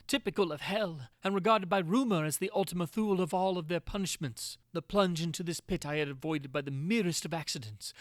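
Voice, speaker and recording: A man's voice: fairly high pitch, no room sound, studio quality, natural voice. A man playing a tormented prisoner recounting his agony in a theatrical, anguished way with archaic diction, in a gothic horror reading. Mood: frantic terror.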